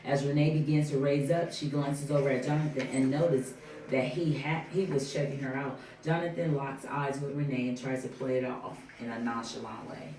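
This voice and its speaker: A reader works through screenplay directions in a slightly halting way. The voice is mid-pitched and picked up from a distance in an echoey room, with faint noise behind it. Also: flat delivery, darkish tone. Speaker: table read participant reading stage directions. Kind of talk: reading screenplay directions aloud. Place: indoor room at a table reading.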